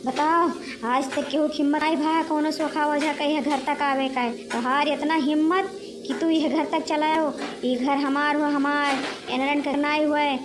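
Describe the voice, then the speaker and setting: A woman's voice: high-pitched, steady tone, measured pace, noisy background. A woman voicing an aggrieved female witch ghost in a cartoon comedy, speaking emphatically and repeating words for force. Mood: angry, possessive. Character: aggrieved female witch ghost, murdered before her wedding. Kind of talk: animated comedy cartoon voice acting in dialect.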